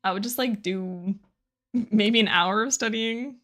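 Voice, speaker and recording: A woman's voice: mid-range voice, measured pace, fairly bright tone. A young adult woman streamer chatting casually with viewers while she draws, giggling often and poking fun at herself. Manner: chatty, giggly, self-deprecating.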